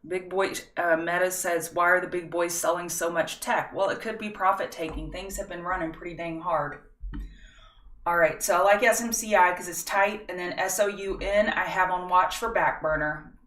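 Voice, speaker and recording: A middle-aged woman with a drawl, walking viewers through stock charts in a chatty, folksy way. Her voice sits fairly low, and it comes through a roomy, echoing space with the mic set some distance away. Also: steady tone, normal speed, fairly bright tone. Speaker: stock market livestream host. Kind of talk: casual market analysis livestream.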